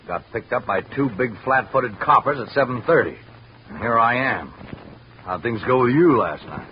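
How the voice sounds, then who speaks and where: On a muffled old radio recording with faint noise, an actor plays a bitter, surly night watchman. He is a man with a mid-pitched voice, curt, sarcastic and on the defensive. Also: lively intonation, moderate pace, close to an everyday voice. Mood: sullen, sarcastic. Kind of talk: 1950s radio drama acting.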